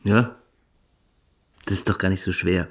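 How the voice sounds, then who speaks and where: A man's voice: sits low, steady tone, measured pace. A man playing a superior, self-assured cadet in a radio drama, assertive and matter-of-fact.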